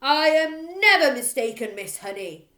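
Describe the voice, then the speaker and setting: Woman acting a storybook tyrant headmistress, loud barking delivery, contemptuous, wildly swooping pitch, roomy echo. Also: big pitch swings, measured pace, exaggerated voice. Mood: outraged. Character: bullying, child-hating tyrant headmistress, middle-aged woman.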